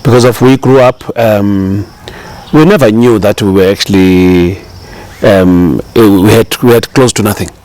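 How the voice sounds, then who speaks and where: A man's voice: fairly low pitch, unhurried, a little mellow, dry recording. A middle-aged man with a South African accent, a chef talking casually as an interview guest, rambling with many fillers and restarts.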